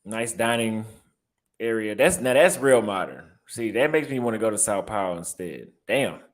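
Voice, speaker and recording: Casual vlogger, young man with a Texas accent, lowish voice, loose and rambling delivery. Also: lively intonation, normal speed. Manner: loose, rambling, reacting aloud with repeated phrases. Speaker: vlogger and barber. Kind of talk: casual vlog, browsing apartment listings.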